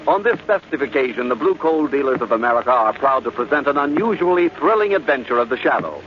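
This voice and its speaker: A man's voice: medium pitch, lively intonation, quick pace. A man announcing in a formal, polished and emphatic 1940s radio style. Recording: muffled vintage radio broadcast, faint noise.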